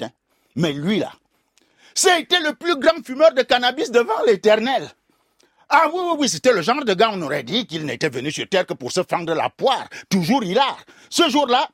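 An older man spins a comic tale for a radio host. His voice sits high and leaps all over its range with theatrical flair. The sound is close and dry. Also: no echo. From Cameroon. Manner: theatrical, animated, addresses the host directly. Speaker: guest storyteller. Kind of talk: comic oral storytelling.

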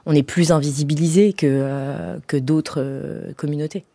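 A woman answering as a guest in a reflective radio interview, with halting, searching phrasing. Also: sits low, steady tone, creaky, dark tone, no echo. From France, of Iranian family. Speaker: guest, playwright and stage director.

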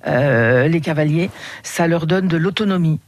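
A woman's voice: deep voice, a little mellow, no echo. Hesitant middle-aged woman, dry studio sound. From Paris region. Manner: measured, fills pauses with frequent hesitations. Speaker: head of a regional riding committee, radio guest. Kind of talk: radio interview answers.

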